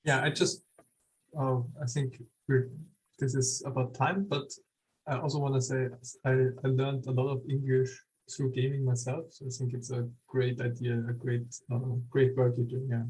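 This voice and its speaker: A male host running an online session, mid-pitched and keen to keep things on schedule. He hurries speakers along. Faint noise hums behind his voice. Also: little pitch movement, a little mellow, poor audio. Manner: hurried, keeping things on time. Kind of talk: moderating a video call. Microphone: video call.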